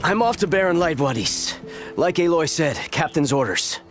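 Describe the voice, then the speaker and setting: Breezy, highish-voiced escort soldier. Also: male voice, lively intonation, normal speed, a bit bright, soft background music. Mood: offhand. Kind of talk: video game character acting.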